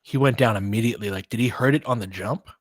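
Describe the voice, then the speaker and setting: A man's voice: medium pitch, quick pace, no room sound. A man giving casual live basketball play-by-play and fan analysis, talking conversationally and thinking aloud in fragments.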